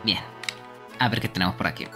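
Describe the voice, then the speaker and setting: A man's voice: sits low, brisk, pushed and bright. Man chatting casually over game music. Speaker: game streamer. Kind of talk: casual live gaming chat.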